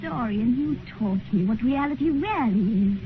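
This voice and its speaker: A woman's voice: fairly high pitch, expressive, moderate pace, noisy background, natural voice. An actress playing a naive, lovestruck young stage girl, impassioned and theatrical, in a 1950s radio drama. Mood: rapturous, pleading. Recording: tinny 1950s radio recording.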